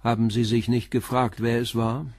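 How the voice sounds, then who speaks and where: An actor playing a persistent, probing police inspector in a crime radio play, questioning in a terse, insistent way. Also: male voice, mid-range voice, little pitch movement, normal speed, a little mellow, no echo, clean studio sound, close to an everyday voice. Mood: pressing.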